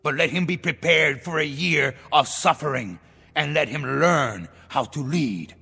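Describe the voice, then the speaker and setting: An actor playing a paranoid, bitter revolutionary general in a 1960s television drama, with a theatrical, deliberate, menacing delivery. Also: male voice, on the high side, vocal fry, pushed and bright, husky, full character voice. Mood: defiant, ruthless. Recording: old TV soundtrack, echoing, music underneath.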